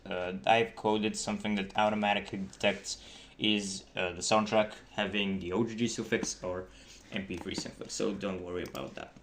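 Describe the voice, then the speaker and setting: A man giving a casual, halting tutorial as a game developer, explaining things informally. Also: lowish voice, little pitch movement, fairly bright tone. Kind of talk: casual screen-recorded tutorial. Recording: noisy desktop mic with key clicks. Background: keyboard typing and mouse clicks.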